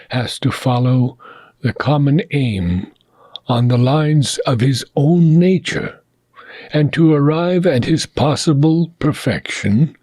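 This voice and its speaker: Slow, husky man reading philosophy. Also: medium pitch, dark tone, dry recording. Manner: measured, deliberate phrasing with frequent pauses. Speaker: reader of a philosophical book. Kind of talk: solemn audiobook-style reading of philosophical prose.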